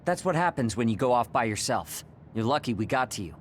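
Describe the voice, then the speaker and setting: A man's voice: medium pitch, sing-song, a little fast, a little hiss or hum, close to an everyday voice. An actor playing a proud, insecure young male soldier in a video game, irritable and defensive in manner. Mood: gruff. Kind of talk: English video game voice acting.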